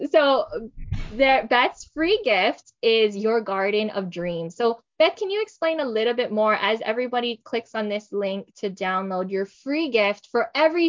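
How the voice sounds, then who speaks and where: A woman's voice: fairly high pitch, lo-fi sound. A woman hosting an online summit in a bubbly, chatty way, laughing often. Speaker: summit host.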